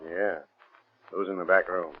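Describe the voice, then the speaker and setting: Low-voiced gruff 1930s deputy. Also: male voice, level tone, measured pace. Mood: unruffled. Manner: terse, casual toughness. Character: unflappable, gruff male deputy sheriff. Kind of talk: 1930s radio crime drama acting. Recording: tinny, band-limited 1930s radio recording.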